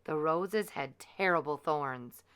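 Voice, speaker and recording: Female storybook narrator, unhurried and bright, with measured dramatic delivery for children. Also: deep voice, clear, resonant tone. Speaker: storyteller reading a picture book aloud.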